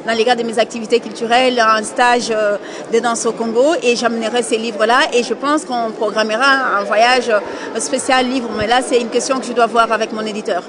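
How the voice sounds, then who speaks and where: A woman's voice: fairly high pitch, fairly even intonation, fairly quick. A woman author answering interview questions hesitantly, with many fillers. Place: indoor book fair hall. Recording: busy crowd chatter behind.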